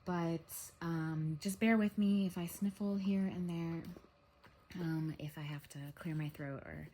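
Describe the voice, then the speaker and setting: A young woman with a head cold, congested and a little scratchy, giving a relaxed spiritual reading monologue with many ums. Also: on the low side. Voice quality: scratchy throat. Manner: slow, soothing, with frequent um fillers. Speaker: tarot reader.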